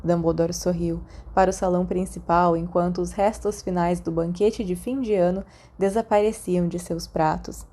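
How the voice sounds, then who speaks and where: Mellow Brazilian woman narrating fiction. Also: fairly low pitch. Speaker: audiobook narrator.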